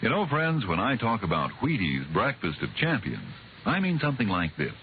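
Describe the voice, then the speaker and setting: A man's voice: lowish voice, huge pitch swings, moderate pace. A man announcing a folksy 1950s radio commercial. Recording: tinny, hissy old radio recording.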